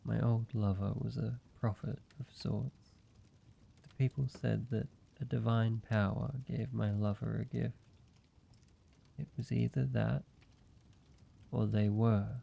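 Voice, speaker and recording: A man voicing an ancient vampire in an intimate roleplay audio, speaking in a measured, halting, solemn way, like a weary father telling his past. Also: on the low side, fairly even intonation, gravelly, husky, lo-fi sound, exaggerated voice. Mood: somber, regretful.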